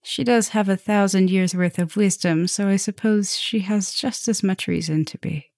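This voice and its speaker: A woman playing a tender, gently dominant vampire professor in an intimate ASMR roleplay, speaking softly and soothingly, often slipping toward a whisper. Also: mid-range voice, dry recording, studio quality, lightly acted. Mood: calm, cautioning. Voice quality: soft, breathy, often dropping to a whisper. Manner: slow, soothing, affectionate murmuring with pauses.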